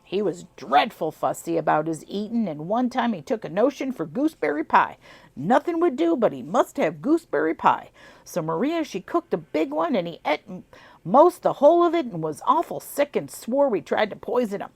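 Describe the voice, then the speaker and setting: A woman's voice: mid-range voice, big pitch swings, moderate pace, creaky, a little mellow, breathy edge, no room sound, full character voice. A woman voicing a grumbling old rural man with a put-on Southern twang, telling a family story in a folksy drawl. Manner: folksy drawl, dropped endings.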